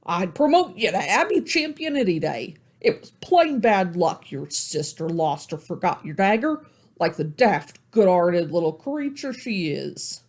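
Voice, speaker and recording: Male narrator as a blunt, prying animal skipper; high, breathy and bouncy. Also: big pitch swings, a little slow, exaggerated voice. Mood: needling. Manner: folksy, pointed questioning.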